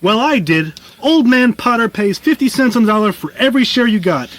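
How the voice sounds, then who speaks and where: A man's voice: very high-pitched, expressive, fairly quick, fairly bright tone, no room sound. A man playing an insistent, agitated townsman in a comic radio reading. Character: agitated, insistent townsman wanting his money. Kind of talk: comic radio-show reading of a film script.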